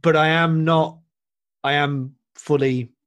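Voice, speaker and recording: A man with a Redditch accent, hosting a casual chat and talking in an animated, easily amused way. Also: fairly high pitch, slow, clear, resonant tone, no room sound. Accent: Redditch, West Midlands. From Redditch, England. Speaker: host.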